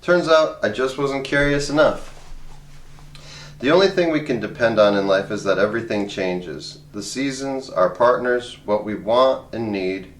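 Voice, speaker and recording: A man's voice: mid-range voice, measured pace. A man reading a comic memoir aloud in a wry, conversational audiobook style. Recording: roomy, echoey voice recording.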